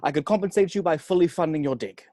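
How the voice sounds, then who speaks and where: A man's voice: on the high side, quick pace, dark tone, slight background noise, close to an everyday voice. A man playing a charming, brash seventy-year-old tycoon in a script table read, with a jovial, showy delivery. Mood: persuasive.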